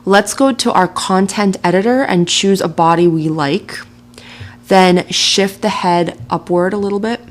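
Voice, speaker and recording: A woman narrating a software tutorial in a casual, chatty way, laughing lightly now and then. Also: mid-range voice, a little slow. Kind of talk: screen-recording tutorial narration.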